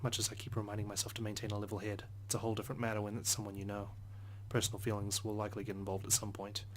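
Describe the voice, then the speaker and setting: A man's voice: on the low side, completely flat delivery, racing, slight background noise. A man reading a visual novel's first-person narration aloud as a let's play host, earnest, with occasional stumbles.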